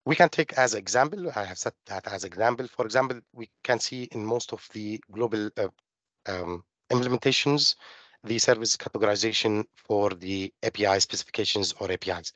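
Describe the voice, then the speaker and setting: A middle-aged man from Jordan, giving a technical webinar presentation over a video call, halting with many fillers and restarts. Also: fairly low pitch, a bit bright, dry recording. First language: Arabic. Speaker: webinar presenter on open finance.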